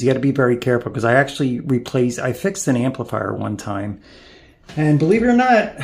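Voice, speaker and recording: A man's voice: medium pitch, creaky. A man repairing electronics at a home workbench, thinking aloud and mumbling as he works. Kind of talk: casual workbench narration. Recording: echoey room, loud background noise.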